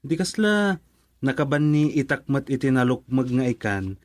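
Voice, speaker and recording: A man's voice: mid-range voice, sing-song, normal speed, no echo, natural voice. A young man with an Ilocano accent acting an earnest, pleading grown son in a radio drama. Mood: insistent.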